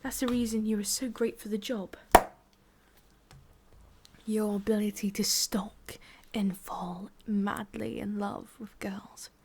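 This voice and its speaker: A woman's voice: medium pitch, fairly even intonation, slight background noise. Whispering English demon boss woman, acted by a woman. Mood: scornful, disappointed. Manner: condescending, deliberate, slipping between soft voice and whisper. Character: sadistic female demon boss, condescending, menacing, controlled. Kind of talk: ASMR roleplay, soft speech slipping into whispers.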